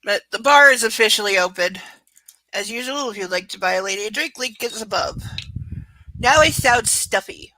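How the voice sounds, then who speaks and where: A woman's voice: a little high, animated, moderate pace, sharp tone, no echo, low-quality recording. A woman reading a novel aloud live from home on camera, breaking off for chatty, sarcastic commentary. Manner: sarcastic, chatty asides between read passages. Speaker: book reader and streamer.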